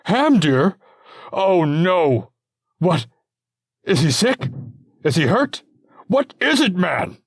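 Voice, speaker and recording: A man's voice: mid-range voice, sing-song, slow, pauses often, dry recording, clean studio sound, voice pushed up. A man voicing an anxious young warlord and worried father who fires off frantic, exclaiming questions. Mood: panicked.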